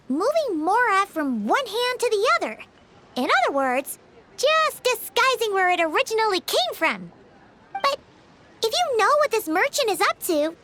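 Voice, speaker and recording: A woman voicing a tiny, excitable, naive fairy girl in a video game, with a squeaky, childlike, chirpy voice. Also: heavily acted. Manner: chirpy, speaks of herself in the third person. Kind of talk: cartoonish video game acting.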